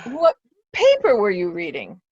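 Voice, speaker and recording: A woman's voice: mid-range voice, wildly swooping pitch, a little slow, a little mellow, no echo, muffled recording. A woman playing an aging, credulous earl and easily outraged father in a theatrical Shakespearean drama reading. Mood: suspicious, blustering. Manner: theatrical, exclamatory.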